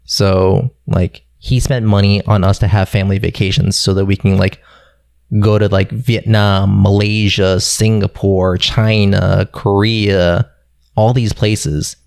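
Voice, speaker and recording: Gravelly laid-back young man. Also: lowish voice, flat delivery, a little slow, a little mellow, no room sound, clean studio sound. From Philadelphia. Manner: laid-back, swears casually, rambling. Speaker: guest. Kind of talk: casual conversation.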